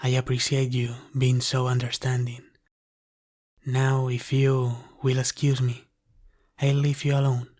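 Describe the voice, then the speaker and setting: A devoted dragon butler, acted by a man, attends in a soft ASMR roleplay. His speech is very slow and unhurried, with a level, restrained melody at a medium male pitch. He is courteous and formal, always gentle and deferential. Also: monotone, close to an everyday voice. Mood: humble, deferential. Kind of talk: ASMR roleplay, soft scripted character acting.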